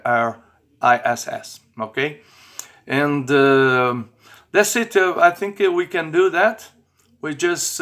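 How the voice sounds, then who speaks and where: A man in his fifties, not a native English speaker, talking informally to camera in halting, repetitive phrasing. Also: mid-range voice, fairly bright tone. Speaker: amateur radio operator and vlogger. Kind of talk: informal video message to camera. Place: small bedroom, indoors.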